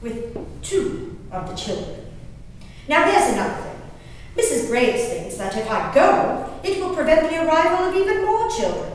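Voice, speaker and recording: A woman playing an excitable, willful young gentlewoman in a dramatic stage monologue, expressive, with emphatic pauses, recorded from far off in an echoey hall. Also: high-pitched. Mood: defiant, excited. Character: Elizabeth Simcoe, excitable, willful young English gentlewoman diarist. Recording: distant, echoey hall recording, faint noise.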